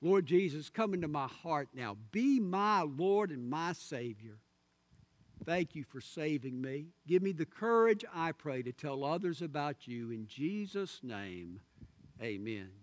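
A man's voice: a little high, very animated. A middle-aged male pastor earnestly pleading with his congregation from the pulpit, recorded on a pulpit microphone in a large church sanctuary. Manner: earnest, pleading appeals with pauses. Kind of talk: sermon invitation and prayer. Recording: long sanctuary reverb.

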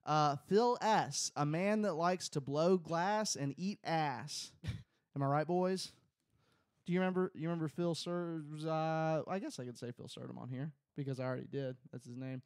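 Casual podcast cohost, slightly high voice, crude jokes, dry close mic. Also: male voice, normal speed, clean tone, no echo. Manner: rambling, crude jokes, many fillers. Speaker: podcast co-host. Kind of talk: casual chat. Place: small home room. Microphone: close studio mic on boom, headphones.

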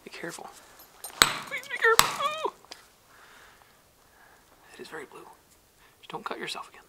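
Relaxed man narrating inside a mine. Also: very high voice, moderate pace. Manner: relaxed, cautious, wry and curious. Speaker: mine explorer and vlogger. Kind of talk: casual exploration narration. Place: dark abandoned underground mine. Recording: noisy mine tunnel recording.

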